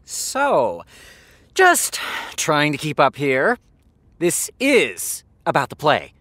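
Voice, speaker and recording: A man playing a defensive, exhausted TV writer with a sardonic, halting delivery in a naturalistic audio drama. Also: fairly high pitch, wildly swooping pitch, fairly bright tone, dry recording, heavily acted.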